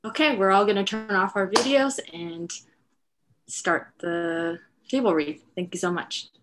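A woman's voice: mid-range voice, measured pace, a bit bright, a little hiss or hum, poor audio. A middle-aged woman, a writer-director, introducing an event over a video call. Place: room at home. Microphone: headset on video call.

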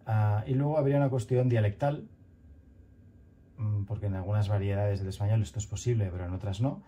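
Muffled, halting Spanish-speaking lecturer, deep and dark. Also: male voice, a little hiss or hum. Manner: thoughtful, halting, many fillers and restarts. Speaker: grammar teacher. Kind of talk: recorded online lecture with slides. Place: small room at home, bookshelf behind.

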